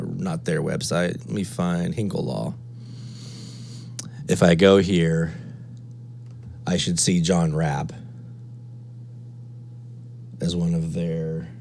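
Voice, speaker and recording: Low-pitched male tutorial presenter, casual, reasoning aloud step by step, slight hum and noise behind. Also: creaky, a little mellow. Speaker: presenter of a radio DXing tutorial. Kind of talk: casual screen-walkthrough tutorial.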